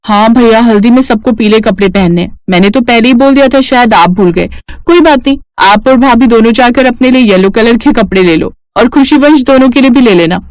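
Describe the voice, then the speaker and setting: A woman's voice: on the high side, brisk, dry recording, lightly acted, poor audio. A woman voicing a cheerful, chatty young bride-to-be in a cartoon family story. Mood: helpful.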